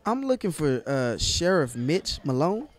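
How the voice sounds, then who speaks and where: Man as an anxious, higher-pitched young city lawyer. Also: sing-song, measured pace. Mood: nervous. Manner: hesitant, deferential. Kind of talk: audio play acting.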